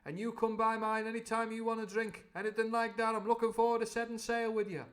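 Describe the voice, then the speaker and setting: A man's voice: high voice, little pitch movement, fast, pitched above the performer's own voice, lightly acted. A man voicing a cheery, fawning one-legged sea cook who talks in a chummy, ingratiating way.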